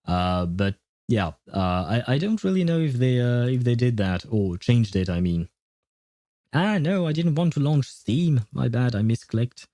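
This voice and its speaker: A man with a soft-edged, mellow voice chats casually on a gaming livestream. He answers viewers in an explanatory way, pausing often to find his words. His medium-pitched voice sounds dry, with no room echo. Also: animated.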